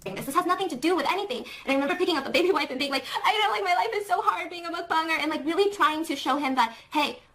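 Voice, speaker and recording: A woman with a high-pitched, loud voice telling an emotional storytime in a clip. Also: animated, racing, hardly any pauses. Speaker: youtuber in a played clip. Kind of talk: storytime monologue.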